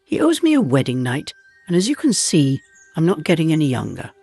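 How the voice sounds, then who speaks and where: A rude corpse bride from a spooky storybook played by a man, huffing and snapping out her retorts. The voice is on the high side and swoops expressively over a music bed. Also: huge pitch swings, brisk, gravelly, a little mellow, husky, heavily acted. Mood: indignant. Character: sharp-tongued undead corpse bride, rude and sardonic.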